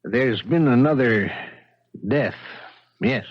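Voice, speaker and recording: An American actor playing a steady, matter-of-fact homicide lieutenant in a 1970s radio drama, asking measured questions in a husky voice. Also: male voice, mid-range voice. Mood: brisk, probing. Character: steady, probing male homicide police lieutenant. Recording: dull vintage radio broadcast.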